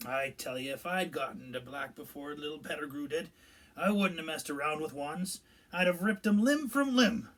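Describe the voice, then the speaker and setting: A man's voice: fairly high pitch, animated, normal speed, a bit bright, distant mic. A man doing a growling, hot-tempered giant of a man. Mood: furious. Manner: growling, rough.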